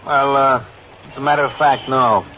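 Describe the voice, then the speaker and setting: A man's voice: mid-range voice, steady tone, normal speed, natural voice. A man playing an evasive, nervous young car thief with an American accent, hedging and protesting in an old-time radio crime drama. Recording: dull, noisy vintage radio recording.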